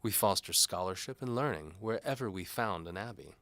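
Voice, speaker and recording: Man voicing a haughty, preachy old bishop, pitched up. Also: lively intonation, brisk, fairly bright tone, no echo. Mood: haughty, certain. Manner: didactic, pompous. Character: zealous, haughty older male medieval bishop, commanding and preachy. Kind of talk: audio drama character acting.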